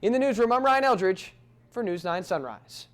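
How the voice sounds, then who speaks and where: A man's voice: high voice, expressive, moderate pace, no echo. A man with an American accent delivering scripted television news narration as a reporter. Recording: faint background noise.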